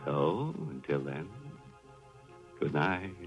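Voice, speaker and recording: Deep-voiced sardonic male horror host. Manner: sardonic, macabre chuckling, drawn-out sign-off. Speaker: mystery show host. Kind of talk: 1940s radio horror hosting, tongue-in-cheek. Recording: muffled 1940s radio recording, music behind.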